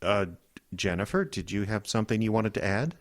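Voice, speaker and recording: A man's voice: fairly low pitch, animated, a little fast, lightly acted. A man playing a well-meaning, clueless boss in a comedic audio drama, hesitant and stammering. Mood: bewildered. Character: well-meaning, bewildered, socially clueless male radio-show boss.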